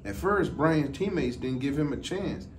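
A middle-aged man reads a children's storybook aloud in a measured, deliberate way. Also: fairly high pitch, expressive. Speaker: deputy fire chief. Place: indoor office, seated. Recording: distant mic, some background noise.

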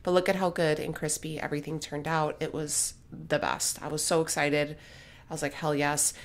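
A woman's voice: low voice, little pitch movement, normal speed. A woman in her thirties narrating her home cooking in a casual, blunt, joking way, often checking in with "okay?". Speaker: vlogger.

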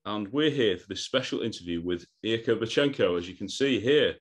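A man's voice: fairly low pitch, animated, fairly quick, slight background noise. Eager man hosting a podcast. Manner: eager, laughs between lines, repeats words. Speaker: podcast host. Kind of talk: podcast interview. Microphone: video call.

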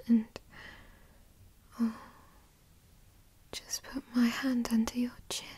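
Woman acting a slow, breathy whispering teasing girlfriend. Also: fairly high pitch, a little mellow, dry recording, full character voice. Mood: tender teasing.

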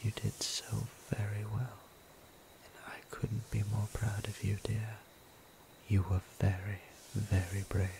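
A man playing a gentle, seductive vampire priest in an ASMR roleplay, whispering breathily with soothing, intimate, formal endearments. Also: fairly low pitch, completely flat delivery, slow, creaky. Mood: tender, proud. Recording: noticeable ambient background noise.

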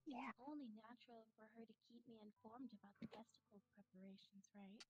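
A woman reading a quiet, subdued teenage girl who puts on cheer, in a staged reading of a visual novel. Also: on the high side, fairly even intonation, quick pace, lo-fi sound, lightly acted.

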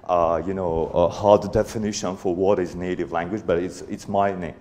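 A man's voice: low-pitched, fairly even intonation, normal speed, a little mellow, a little hiss or hum. A man in his forties speaking at a conference in a hall before an audience, hesitant, with many fillers and restarts. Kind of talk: conference talk.

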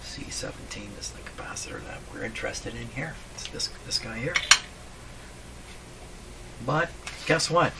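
A man's voice: medium pitch, animated, normal speed, vocal fry, long pauses, heavy background noise. A man explains things casually at a workbench, musing aloud and asking himself questions. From Canada. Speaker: host, radio repair hobbyist. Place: small cluttered indoor electronics workshop.

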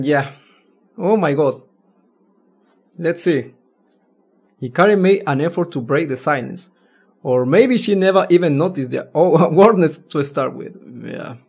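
Rambling man doing lively game commentary. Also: a little high, slightly rough. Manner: rambling, stumbling over words, swearing mildly. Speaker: let's player. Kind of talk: casual reaction commentary while reading a visual novel aloud.

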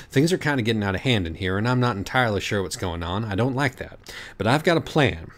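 A man's voice: fairly low pitch, close to an everyday voice. Man acting an urgent, exasperated schemer. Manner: urgent, impatient. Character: scheming, exasperated, foul-mouthed male writer.

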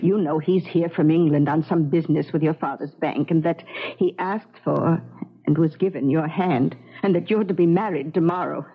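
A woman's voice: low voice, moderate pace, husky. A woman playing a fussy, doting older colonial maidservant in a radio drama, fretful and coaxing. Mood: fretful, explaining. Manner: fretful, coaxing, gushing endearments. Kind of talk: 1970s radio drama acting. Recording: dull 1970s radio recording.

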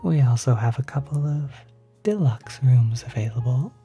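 A performer voicing a courteous, eager demon hotel receptionist in a soft, whispery, reassuring ASMR roleplay. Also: mid-range voice, normal speed, faint music behind, lightly acted. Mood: smooth, inviting. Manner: polite, reassuring, salesmanlike.

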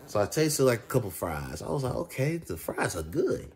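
A man's voice: mid-range voice, very animated, moderate pace. A young American man chatting casually in a vlog, telling a story with repetitive, emphatic slang and a conversational delivery. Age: young adult. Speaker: food reviewer. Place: indoors, reclining on a couch. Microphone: phone at arm's length. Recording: clear background noise, home phone recording.

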